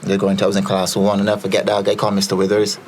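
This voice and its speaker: Low-voiced Barbadian man telling stories. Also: completely flat delivery, very fast, a little mellow, slight background noise. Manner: rambling, full of fillers and repeated starts. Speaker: podcast guest. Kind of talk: casual storytelling chat.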